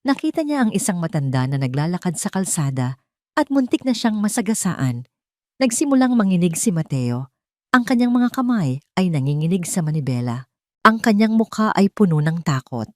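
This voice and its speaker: A woman's voice: fairly low pitch, big pitch swings, moderate pace, no echo, studio quality. A woman with a Filipino accent reading a scripted dramatic story as its narrator.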